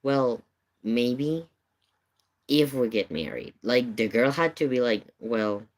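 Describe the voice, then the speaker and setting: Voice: medium pitch, expressive, slow pace. A streamer chatting casually and telling a rambling story, with hesitant, repetitive phrasing. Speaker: live streamer reacting to videos. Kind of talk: casual livestream chat, rambling anecdote.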